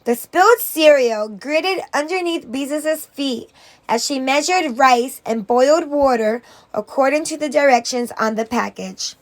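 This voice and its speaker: A woman teacher reading a story aloud to children in an expressive narrating style, with dramatic emphasis. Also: high voice, measured pace. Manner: dramatic emphasis on exclamations and character lines.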